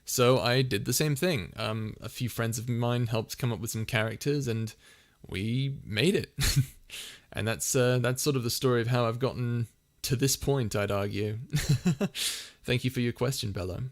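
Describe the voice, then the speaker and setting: A man with a soft, soothing voice at an everyday middle pitch chats casually on a livestream. He wanders through his answers and often stops to restart a sentence or fill a pause. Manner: rambling, full of fillers and restarts. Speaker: ASMR channel host answering viewer questions.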